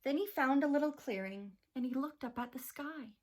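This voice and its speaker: A woman teacher reading a rhyming picture book aloud to children, slow and expressive. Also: sits high.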